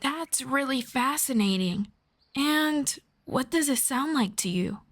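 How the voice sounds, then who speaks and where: A woman voicing a gentle, teasing young elf friend in an unhurried fantasy roleplay audio drama in ASMR style. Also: high-pitched, lively intonation, exaggerated voice. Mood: concerned, curious.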